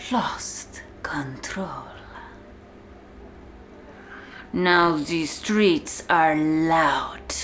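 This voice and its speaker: A woman playing a contemptuous, commanding prison warden in a slow, deliberate, menacing ASMR-style roleplay monologue. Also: low-pitched, slight background noise. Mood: hushed menace. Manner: slow, deliberate, menacing, often dropping to a whisper.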